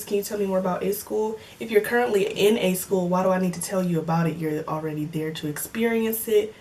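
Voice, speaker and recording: A woman's voice: mid-range voice, a little mellow, far from the mic. 20-year-old woman vlogger, slangy and joking, heard from a bit off-mic with a faint hiss. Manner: chatty, joking, slangy, laughs often. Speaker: vlogger answering viewer comments. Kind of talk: casual chat. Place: home filming setup with cameras and lights.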